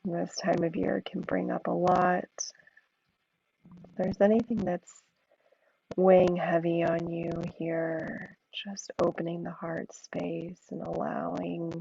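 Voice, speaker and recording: A woman teaching a yin yoga class, hoarse and froggy from an allergy attack, giving slow, soothing cues. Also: on the low side, completely flat delivery, dark tone, muffled recording, slight background noise. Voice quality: gravelly, froggy voice. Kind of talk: guided yoga instruction.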